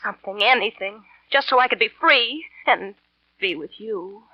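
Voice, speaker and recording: A woman's voice: medium pitch, normal speed, dry recording, lightly acted. An actress playing a greedy, scheming married woman in a 1940s radio melodrama, with a gushing, pleading, emotional manner. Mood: desperate, pleading. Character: greedy, scheming married woman, breathlessly adoring her lover. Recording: dull, dry vintage radio recording.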